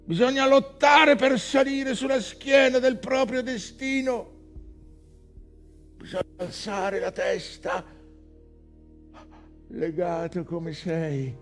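Slow, anguished shipwrecked whaling captain. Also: male voice, high-pitched. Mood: defiant struggle. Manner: slow, halting phrases swinging between hushed dread and cries. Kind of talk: dramatic theatrical monologue, literary stage acting. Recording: music under the voice.

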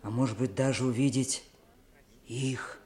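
Actor as earnest, slow-spoken pleading young man. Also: medium pitch, steady tone, darkish tone, natural voice. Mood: pleading, eager. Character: eager, pleading young troublemaker named Tammy. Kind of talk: 1960s radio play acting.

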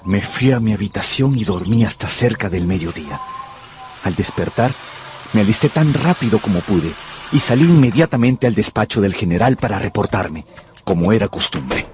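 A man's voice: lowish voice, lively intonation, moderate pace, noisy background, lightly acted. An actor playing an impassioned, lovestruck young tutor who tells his story in a radio drama. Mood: troubled narration. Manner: fervent, theatrical. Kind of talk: dramatic first-person narration and radio drama acting in Spanish.

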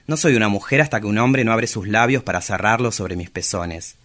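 Radio monologue: male actor as seductive travesti poet, lowish pitch, intimate, confessional and yearning. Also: a little fast, fairly bright tone, lightly acted. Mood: sensual.

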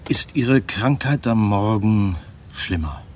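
Low-voiced, grave family doctor. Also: male voice, expressive, a little hiss or hum. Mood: probing. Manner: measured, probing, authoritative. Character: grave, soothing, authoritative male family doctor. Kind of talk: German radio drama acting.

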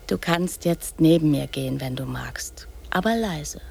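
An actress playing an imaginative, bossy little girl in a radio drama, often dropping into secretive whispers and then insisting loudly. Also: low voice, expressive, measured pace, vocal fry, breathy edge, full character voice. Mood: bossy, excited. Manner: hushed, secretive whispering broken by sudden loud insistence.

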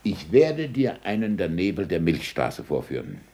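An actor in a 1960s German radio drama playing a passionate, impatient middle-aged astronomer, with emphatic stage diction and a gravelly, husky voice. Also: male voice, sits low, huge pitch swings, normal speed, a little hiss or hum, heavily acted. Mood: intent, wondering. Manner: emphatic stage diction, swinging from murmurs to shouts.

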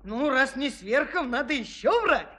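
An actor playing a cheeky, cunning young shepherd who tells tales in a mock-innocent, teasing way in a children's audio play. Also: male voice, extremely high pitch, very animated, normal speed, bright, forceful tone, full character voice.

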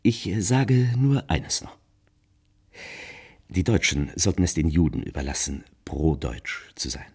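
An actor playing an urbane, garrulous, flattering impresario with a husky voice, in a theatrical radio drama monologue. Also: male voice, deep voice, unhurried, heavily acted. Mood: insistent, pleading. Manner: theatrical, effusive, peppering speech with French phrases.

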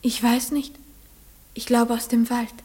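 High-voiced timid little schoolgirl, acted by a female performer. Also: little pitch movement, a little slow, darkish tone, reverberant, natural voice. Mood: timid, pleading. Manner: timid, brief, earnest replies. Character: shy, earnest little village schoolgirl. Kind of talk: German radio play acting.

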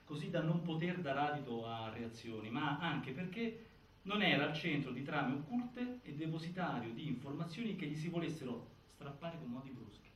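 A man performing as a solemn theatre narrator, reciting a case file in formal, deliberate, declamatory phrases. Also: a little high, animated, a bit bright. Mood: grave, insistent. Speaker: narrator in a stage inquiry play. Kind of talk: scripted theatrical documentary narration. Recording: echoey, distant, faint noise.

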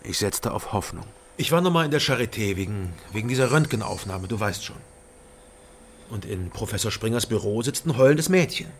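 A man's voice: on the low side, lively intonation, brisk, a little hiss or hum. An actor playing a weary, guarded East German man who narrates and speaks in a radio crime drama, restrained and hesitant. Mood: guarded, explaining.

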